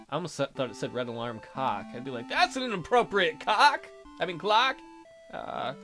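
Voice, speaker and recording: Male let's player, high and lively, reading game text with jokey commentary over light music. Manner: jokey, animated, goofy asides. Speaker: let's play host. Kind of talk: reading a visual novel aloud with ad-libbed jokes. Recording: background music under voice.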